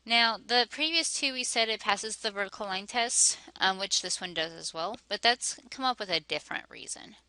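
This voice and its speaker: Woman teacher going thru math problems step by step, kinda hoarse, bright tense voice, dry close sound. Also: mid-range voice, expressive, moderate pace, hardly any pauses, dry recording, rough recording. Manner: methodical, talks through each step aloud. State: slightly hoarse, losing her voice.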